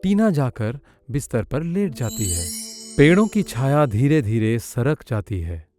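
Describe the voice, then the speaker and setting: A man's voice: medium pitch, big pitch swings, a little slow, mellow, faint music behind. A man narrating a children's cartoon story in a scripted storytelling style.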